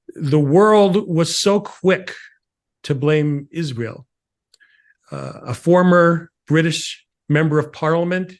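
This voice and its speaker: A man co-hosting a live political discussion, laying out his points in short, measured phrases. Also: fairly high pitch. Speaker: co-host of a political talk show.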